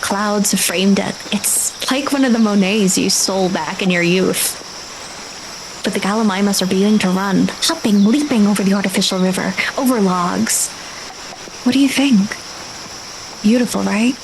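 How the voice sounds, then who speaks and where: A woman game master improvising vivid, deliberate narration for a tabletop role-playing game. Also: mid-range voice. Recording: clear ambient noise with insect-like sounds.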